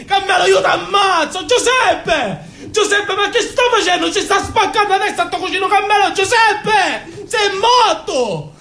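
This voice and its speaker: A man's voice: very high voice, lively intonation, unhurried, hardly any pauses, sharp tone, heavily acted. A man acting a naive, passionate young Sicilian man in an intense, confessional stage monologue with a Sicilian accent. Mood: frantic rage. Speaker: stage actor. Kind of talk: theatrical monologue in dialect.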